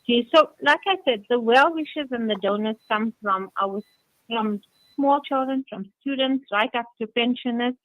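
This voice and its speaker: A woman's voice: fairly high pitch, normal speed, no echo. A woman with a South African accent speaking conversationally as a radio interview guest over a telephone line. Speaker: interview guest, community charity organiser. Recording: tinny phone line on radio.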